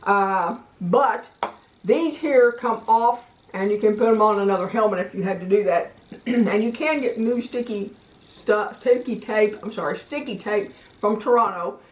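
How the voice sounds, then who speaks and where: A woman's voice: medium pitch, moderate pace. Folksy lady doing a casual product review, kinda rambly, echoey room, slightly muffled audio. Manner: plain-spoken, folksy, meandering.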